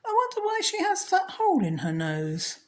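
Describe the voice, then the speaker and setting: Woman voicing an eager, inquisitive storybook man, pitched up. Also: wildly swooping pitch, moderate pace, slight background noise, full character voice. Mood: curious, eager. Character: excitable, inquisitive man who keeps monsters, polite and anxious. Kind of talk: children's storybook character voice.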